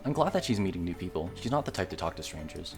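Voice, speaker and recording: Man reading as easygoing, animated demi-human girl friend. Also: low voice, fast talker, over music. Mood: fond, teasing.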